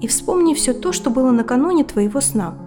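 A female psychotherapist in her thirties in an audio drama. Her voice sits a little high and she speaks in a measured, attentive way, steering with questions. Music plays clearly underneath. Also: expressive. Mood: calm, guiding.

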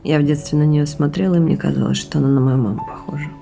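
A woman's voice: low voice, steady tone, fast talker, gravelly, mellow, soft background music. An actress playing a candid, reflective woman in an audio drama, talking in a confiding, opinionated way. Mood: intimate, musing. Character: candid, reflective woman, intimate partner hoping for motherhood.

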